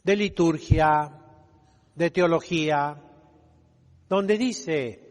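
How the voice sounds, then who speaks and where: Older male preacher, extremely slow delivery, emphatic scolding homily, long church reverb. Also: a little high. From Mexico. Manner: emphatic, scolding, deliberate with dramatic pauses. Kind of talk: fiery homily, exhorting the congregation. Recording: echoing hall with long reverb.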